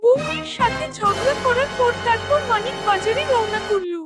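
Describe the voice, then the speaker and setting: A woman voicing a tiny, squeaky cartoon storyteller, narrating a scripted comic tale. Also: normal speed. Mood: perky, narrating. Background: loud music.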